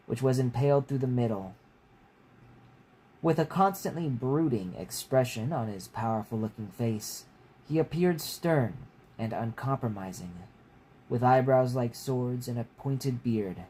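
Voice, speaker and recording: A man's voice: mid-range voice, darkish tone, low-quality recording. A man narrating a story from his own book as a reader, with casual asides. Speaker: author reading own book. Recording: clear background noise.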